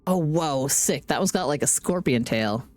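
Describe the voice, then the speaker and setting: A woman live-streaming a game with casual, playful commentary, chattering to herself, her voice deepened by being sick. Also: expressive, normal speed, a little mellow.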